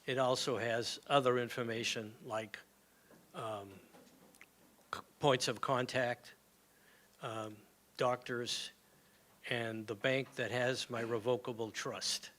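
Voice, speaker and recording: A man's voice: medium pitch, steady tone, slow pace, pauses often, fairly bright tone. An older man giving a plain, practical health talk to a community gathering, speaking conversationally. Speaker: community moment presenter. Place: meeting hall with audience.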